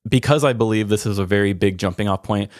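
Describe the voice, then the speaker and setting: A man's voice: lowish voice, fast, dry recording, studio quality. A man hosting a podcast, speaking candidly and earnestly about personal matters in a self-deprecating way. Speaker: podcast host. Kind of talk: candid personal talk, then reading a personal essay.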